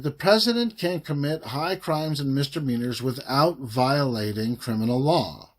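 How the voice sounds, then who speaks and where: Slow, deliberate man reading a formal report aloud. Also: a little high. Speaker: reader of a government report. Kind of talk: read-aloud of a formal legal document.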